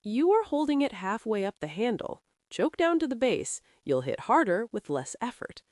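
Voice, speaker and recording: A woman's voice: fairly high pitch, big pitch swings, normal speed, darkish tone, no room sound, clean studio sound, voice pushed up. A woman voicing a proud, capable ranch woman in a western story, bossy, teasing and matter-of-fact. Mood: brisk instructing. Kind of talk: audiobook-style western dialogue.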